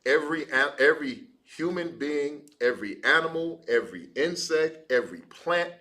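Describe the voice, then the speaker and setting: A man's voice: fairly high pitch, animated, moderate pace, sharp tone. A man teaching a Bible class in a casual, conversational way, using fillers as he explains. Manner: casual, explaining with fillers like "you know what I mean".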